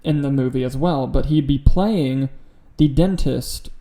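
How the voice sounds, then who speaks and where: A man's voice: mid-range voice, unhurried, dark tone. A man co-hosting a podcast, chatting casually about film news in an opinionated, sweary, informal way. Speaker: podcast co-host.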